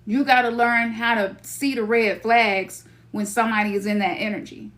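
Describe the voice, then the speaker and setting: A woman's voice: on the high side, fairly even intonation, normal speed, a bit bright. A middle-aged woman from Memphis with a Memphis Southern accent, talking casually as a spiritual teacher, loose and slangy, sometimes acting out her own inner voice. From Memphis, Tennessee. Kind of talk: casual spiritual talk to listeners.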